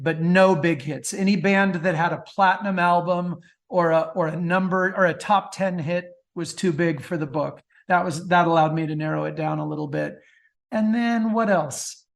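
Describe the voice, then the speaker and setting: A man's voice: sits high, fairly bright tone. A middle-aged man speaking casually as an interview guest, telling stories with chatty enthusiasm. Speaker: author and film producer, podcast guest. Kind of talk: casual interview talk about music.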